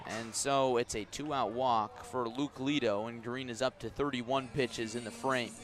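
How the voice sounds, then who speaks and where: A man doing live baseball play-by-play as a radio announcer, giving measured, detailed commentary that rises on each pitch. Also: fairly even intonation, faint music behind.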